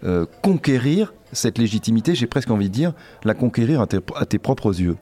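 A man's voice: mid-range voice, sing-song, a little fast, mellow. A man hosting an interview, asking questions with hesitations and small stammers. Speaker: interviewer, host. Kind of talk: conversational interview.

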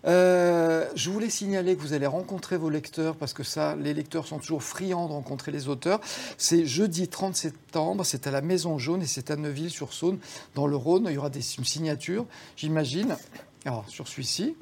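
A TV show host leading a relaxed interview, chatty, prompting the guest with quick interjections and suggestions. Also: mid-range voice, vocal fry, a little hiss or hum. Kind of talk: conversational interview hosting. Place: TV studio.

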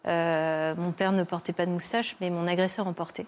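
An older woman speaking as a guest on a television talk show, giving a personal testimony with many pauses, fillers and restarts. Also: fairly low pitch, flat delivery, a little hiss or hum. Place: television studio.

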